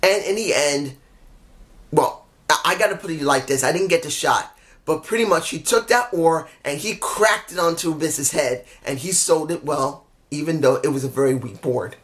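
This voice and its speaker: A man with a somewhat high voice, hoarse from talking, picks apart a wrestling show in a loose, opinionated way. He rambles and restarts his sentences, honest and a bit frustrated. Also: normal speed, fairly bright tone. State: losing his voice. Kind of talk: casual opinionated review.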